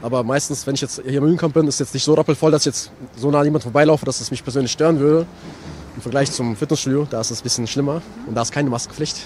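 A man's voice: medium pitch, steady tone, very fast, darkish tone. A young man chatting casually as a passer-by in a street interview, on a handheld microphone. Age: young adult. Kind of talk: casual chat. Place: city street outdoors. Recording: street traffic noise behind.

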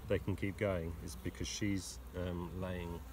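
Deep-voiced London man teaching beekeeping. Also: a little slow. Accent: London English. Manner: relaxed, explains step by step with restarts. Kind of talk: hands-on teaching chat. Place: outdoor community garden by beehives. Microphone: camera nearby, outdoors. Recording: outdoor recording with noise behind. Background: buzzing bees and outdoor ambience.

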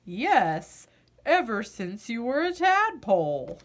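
A woman's voice: fairly high pitch, very animated, slow, a little hiss or hum. A woman voicing a kindly parent frog in a picture book, affectionate and reassuring. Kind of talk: children's picture-book read-aloud.

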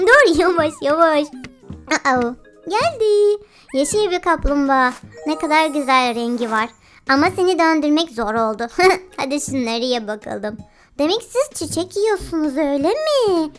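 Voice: high voice, big pitch swings, moderate pace, fairly bright tone. A playful host of a children's game video with an exclamatory, giggly, cooing way of talking to cartoon animals. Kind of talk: playful children's gameplay commentary. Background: children's music.

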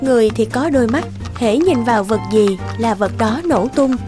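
A woman narrates a children's fairy tale from a script. Her voice is on the high side. Music plays clearly behind her. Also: lively intonation, moderate pace.

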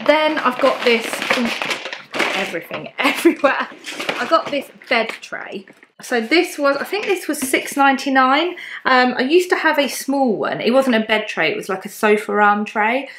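A woman's voice: sits high, animated, normal speed, fairly bright tone, a little hiss or hum. Upbeat woman in her thirties, casual chatty haul vlogger talking straight to camera from her living room. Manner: chatty, upbeat, lots of ums, shows each item to camera. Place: bright home living room, indoors.

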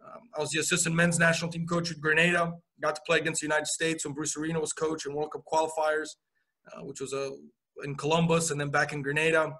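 A man in his forties, a college soccer coach from Romania, telling his career story on a video call with relaxed pacing and frequent fillers. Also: on the high side, fast talker. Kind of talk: video call interview answers. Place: indoor office.

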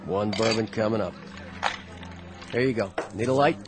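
A man's voice: lowish voice, moderate pace, heavy background noise, lightly acted. A man playing an easygoing, chatty bartender in a radio drama. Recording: radio drama with prominent music.

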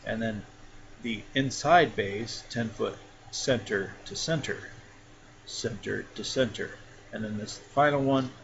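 A man casually talking through a software tutorial step by step, with frequent fillers. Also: fairly low pitch. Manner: relaxed, talks through each step with fillers. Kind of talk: casual screen-recorded tutorial. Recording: dull lo-fi mic with clear background noise.

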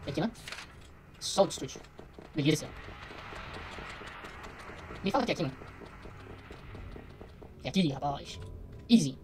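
A man's voice: a little high, lively intonation, unhurried, pauses often, over music. A young Brazilian man giving casual, slangy let's play commentary, muttering to himself as he goes. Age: young adult. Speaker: gaming streamer.

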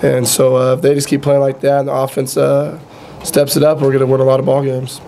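A man's voice: mid-range voice, completely flat delivery, a little fast, creaky, background noise. A young man answering reporters at a postgame press conference as a college quarterback. He talks in a laid-back, blunt, self-critical way and is losing his voice. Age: young adult.

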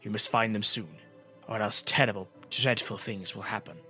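A man's voice: lowish voice, steady tone, moderate pace, sharp tone, faint music behind. A man voicing a solemn, foreboding male seer who speaks formally and emphatically in a fantasy story. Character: grave, foreboding male time-travelling seer.

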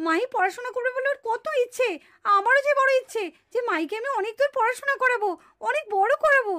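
Casting: scolding village female for puppet cartoon drama; very high pitch, quick delivery. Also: pushed and bright. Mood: indignant protest. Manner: emphatic, scolding, repeats words in protest. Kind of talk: dramatized Bengali puppet-show dialogue.

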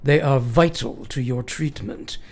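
A woman playing a grave, eccentric male professor of medicine in a radio play, speaking solemnly and deliberately. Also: extremely low pitch, a little mellow, full character voice. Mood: solemn, insistent. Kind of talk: radio drama acting.

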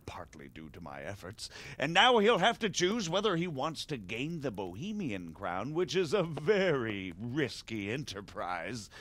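A shrewd middle-aged margrave in a game cutscene, smooth and persuasive. His pitch moves a lot, rising sharply when he is pressed. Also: a bit bright, full character voice. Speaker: margrave, scheming noble. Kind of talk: video game cutscene dialogue.

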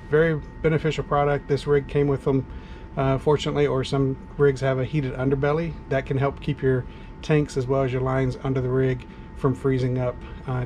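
A man's voice: medium pitch, a little mellow, slight background noise. A middle-aged man with a Southern US accent giving casual, plain-spoken RV camping tips, recorded on a handheld camera outdoors at a snowy campground and inside an RV. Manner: easygoing, plain-spoken, with frequent uh fillers. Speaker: RV vlogger.

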